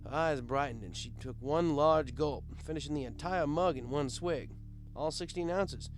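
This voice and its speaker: A man narrating a comic first-person story in a wry, expressive, conversational storyteller's way. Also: a little high, normal speed, faint music behind, poor audio. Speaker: audiobook narrator.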